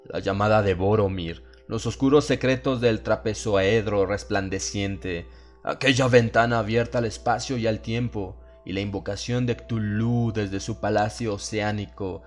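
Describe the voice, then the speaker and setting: A man with a low voice narrates a horror story. His delivery is solemn and dramatic, in the manner of a dramatized audiobook. Music plays behind him. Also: fairly even intonation, normal speed.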